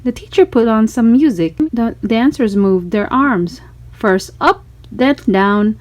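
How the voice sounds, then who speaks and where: A woman narrating a children's storybook in an expressive read-aloud style. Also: on the high side, slow.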